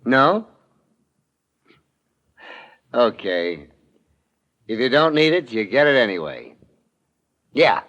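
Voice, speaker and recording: An actor playing a menacing, defiant murderer in tough, slangy 1940s radio drama style. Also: male voice, medium pitch, big pitch swings, slow, pauses often, fairly bright tone, muffled recording. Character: menacing, defiant young murderer cornered by detectives.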